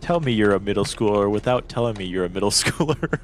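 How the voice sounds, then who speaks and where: A man streaming a multiplayer game, reacting loudly with shrieks, laughs and yelps of panic. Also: fairly low pitch, lively intonation, quick pace, slight background noise. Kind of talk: excited gaming commentary.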